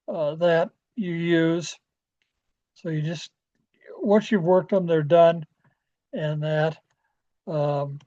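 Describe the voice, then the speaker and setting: Male presenter, mellow and dark, halting folksy delivery for an informal club training talk, slightly muffled lo-fi sound. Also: high voice. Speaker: amateur radio club presenter. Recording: dull, lo-fi audio.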